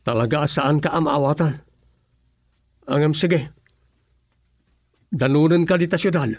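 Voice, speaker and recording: A man's voice: fairly high pitch, measured pace. An actor playing a worried, earnest young man in a radio drama, with a questioning, concerned manner. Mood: puzzled.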